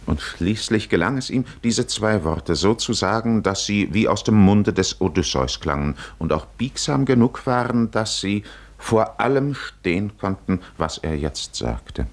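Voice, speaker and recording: Deep, slow male radio play narrator. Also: animated. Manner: musing, measured, with deliberate pauses. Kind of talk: literary 1950s radio drama narration.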